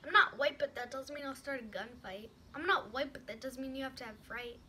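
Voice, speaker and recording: A woman's voice: sits high, a little fast, bright, forceful tone. A woman reciting rhymed slam poetry with emphatic, punchy, rhythmic delivery on a noisy amateur recording. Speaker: poet.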